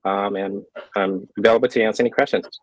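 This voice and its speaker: A man's voice: lowish voice, steady tone, fast, no echo, low-quality recording. A man from Los Angeles introducing himself as an engineer, plainly and briefly, with fillers. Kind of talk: casual chat.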